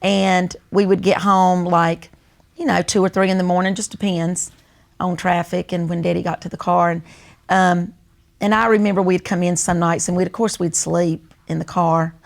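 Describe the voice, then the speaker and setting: Older female with a Southern American accent for relaxed, folksy family storytelling in conversation. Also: fairly low pitch, normal speed, gravelly. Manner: folksy storytelling, acts out remembered family dialogue. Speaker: host. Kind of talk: casual reminiscing conversation.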